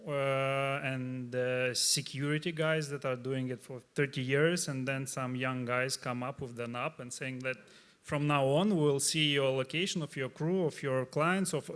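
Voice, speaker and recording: Halting man with a Russian accent. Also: mid-range voice, fairly even intonation, a bit bright, clean tone. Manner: halting, searching for words, many fillers. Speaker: startup founder on a panel. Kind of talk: live panel discussion.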